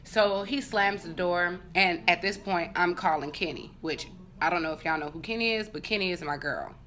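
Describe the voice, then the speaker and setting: A woman vlogger telling a story casually and comedically to her viewers, animated and profane, with conversational asides and acted-out dialogue. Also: on the low side, moderate pace.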